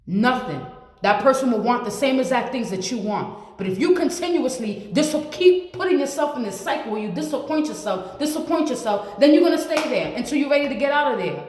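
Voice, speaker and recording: A woman in her late twenties giving blunt, repetitive advice to the camera as a vlogger. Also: high-pitched. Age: 20s. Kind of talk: motivational talk to camera. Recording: echoey room with long reverb.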